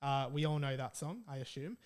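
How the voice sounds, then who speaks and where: A man's voice: medium pitch, brisk. A man hosting a solo podcast in a casual, jokey way, repeating phrases for emphasis. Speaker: podcast host.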